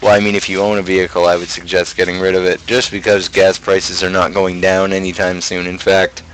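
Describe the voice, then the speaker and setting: Low-voiced young man ranting casually. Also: monotone, fairly quick, a bit bright, slight background noise, low-quality recording. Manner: opinionated, ranting. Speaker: livestream host. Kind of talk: casual rambling livestream chat.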